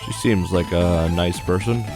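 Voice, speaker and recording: A man's voice: deep voice, a little slow. A man hosting a gaming video, narrating a visual novel in a loose, offhand, casual way. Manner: loose, offhand paraphrasing of on-screen story. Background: video game music.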